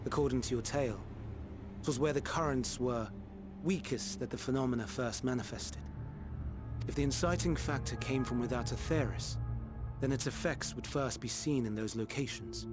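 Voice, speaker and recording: A man's voice: medium pitch, over music, close to an everyday voice. A man as a composed, scholarly fantasy sage. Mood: grave, analytical. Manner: measured, formal, explanatory. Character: composed, scholarly male sage of an ancient people. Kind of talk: dramatic video game acting.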